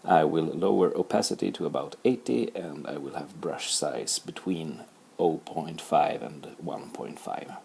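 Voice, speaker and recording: A man's voice: a bass voice, unhurried, gravelly, mellow, a little hiss or hum. A man with a Swedish accent narrating a drawing tutorial, talking through each step plainly with some fillers and self-deprecating remarks. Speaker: art tutor.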